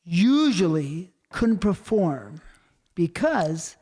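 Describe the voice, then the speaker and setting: Host, man in his 50s, high voice, blunt, swears freely. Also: very animated, warm tone. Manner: blunt and profane, quick interjections. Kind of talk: casual interview chat.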